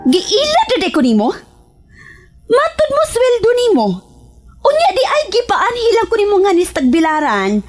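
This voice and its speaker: A woman with a Cebuano accent acting a fed-up, quick-tempered wife who scolds emotionally in a radio drama. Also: very high voice, huge pitch swings, soft background music, exaggerated voice. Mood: scolding, indignant.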